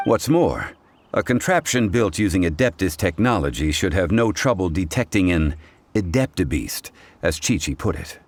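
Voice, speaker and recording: Deep, refined scholarly gentleman. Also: big pitch swings, exaggerated voice. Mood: calm, matter-of-fact. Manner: formal, measured, refined, faintly reproving. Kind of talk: video game voice acting. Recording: music under the voice.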